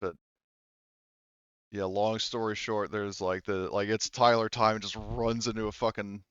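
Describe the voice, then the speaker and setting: A man's voice: fairly low pitch, little pitch movement, measured pace, fairly bright tone, clear, resonant tone, no room sound, muffled recording. A man running a tabletop role-playing game as game master, talking informally and swearing casually. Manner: profane, informal. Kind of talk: casual tabletop game narration, online session.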